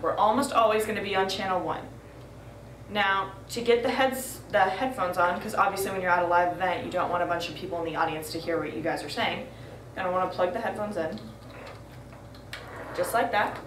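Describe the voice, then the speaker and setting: A woman's voice: on the low side, brisk. A young woman speaks in a friendly, direct way to her crew. She is recorded from a distance in an echoey room. Faint background noise can be heard. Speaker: student crew tutorial presenter. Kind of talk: casual how-to explanation. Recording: roomy, far from mic, faint noise.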